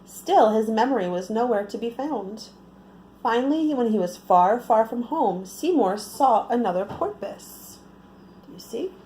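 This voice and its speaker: Casting: female children's storyteller, picture-book read-aloud, highly melodic delivery, mellow tone, faint noise behind. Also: unhurried, poor audio. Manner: expressive, asks the listeners questions.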